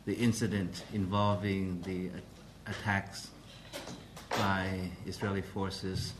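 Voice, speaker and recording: A man giving a formal press briefing as president of a council, speaking carefully with many pauses and restarts. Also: low-pitched, level tone, background noise.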